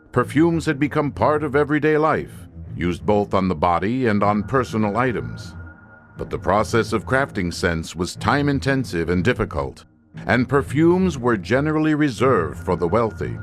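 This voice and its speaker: A man announces and narrates a suspense drama in a lowish voice with very lively intonation. His delivery is formal and theatrical, with music clearly playing behind him.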